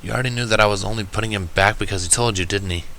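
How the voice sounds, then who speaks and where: A man's voice: fairly low pitch, racing, pushed and bright, slight background noise. A man voicing a timid, kindly, injured pony who speaks hesitantly and politely in a storybook reading. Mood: questioning.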